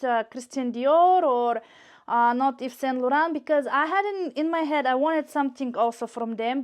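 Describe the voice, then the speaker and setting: A woman from Bulgaria with a high voice and a Bulgarian accent speaks as a shopping vlogger. She chats casually to her viewers in a gushing way, often doubling up words for emphasis. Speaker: YouTube shopping and unboxing vlogger. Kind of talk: casual chat to camera.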